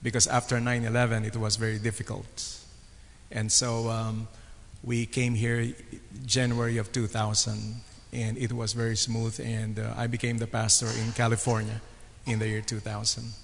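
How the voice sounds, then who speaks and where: Filipino man, pastor, halting testimony, monotone, chapel reverb. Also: lowish voice, creaky, slight background noise. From Philippines. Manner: halting, many fillers, flat delivery. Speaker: pastor and guest speaker. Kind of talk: personal testimony on a panel. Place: chapel on stage.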